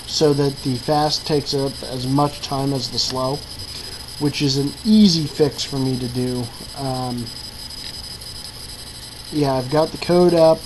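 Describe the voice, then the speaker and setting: A woman giving a casual, improvised walkthrough of a hobby project, hesitant and thinking aloud. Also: low voice. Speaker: hobbyist maker showing an electronics project. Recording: clearly noisy with steady mains hum.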